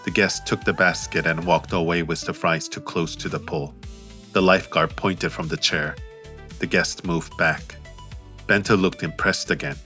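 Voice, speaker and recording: Low-voiced man narrating an audiobook, steady and crisp in tone. Soft music underneath. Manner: measured, steady. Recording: faint music behind.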